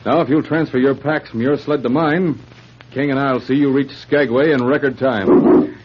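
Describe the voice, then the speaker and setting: Man playing a firm, steady old-radio Mountie sergeant. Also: mid-range voice, natural voice. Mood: reassuring. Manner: measured, firm, unruffled. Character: calm, resolute male Mounted Police sergeant. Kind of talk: old-time radio drama acting. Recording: muffled, noisy vintage radio recording.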